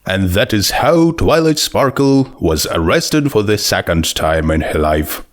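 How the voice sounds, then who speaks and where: A man narrating a scripted story in a measured, deliberate storytelling manner. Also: on the low side, lively intonation. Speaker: fan fiction audiobook narrator.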